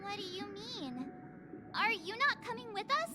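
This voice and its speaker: Very high, childlike worried companion. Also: female voice, sing-song, a little slow, noisy background, full character voice. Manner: anxious, questioning. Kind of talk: cartoonish game voice acting. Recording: game music playing behind.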